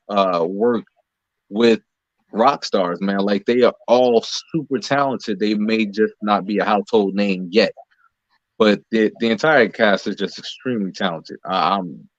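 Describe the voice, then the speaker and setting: Low-voiced man, dull dry recording. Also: dry recording. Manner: halting, explanatory, pausing between phrases. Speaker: interview guest, playwright and lead actor. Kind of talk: conversational interview answers.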